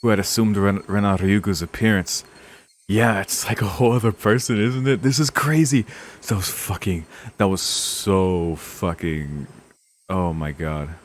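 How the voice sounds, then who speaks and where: A man's voice: sits low, unhurried. A man streaming a visual novel, reading the narration aloud with dramatic, casual, sweary reactions. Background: game music.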